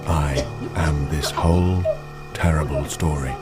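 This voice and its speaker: An actor playing an omniscient, brooding storyteller narrating a radio drama in a deliberate, portentous manner. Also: male voice, extremely low pitch, fairly even intonation, over loud music. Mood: ominous. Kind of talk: scripted first-person radio drama narration.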